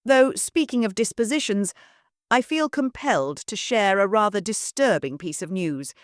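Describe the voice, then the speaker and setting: A woman voicing a refined aristocratic gentleman with a smooth, cultured manner and a sinister edge. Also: mid-range voice, expressive, normal speed, no echo, clean studio sound, pitched above the performer's own voice, close to an everyday voice.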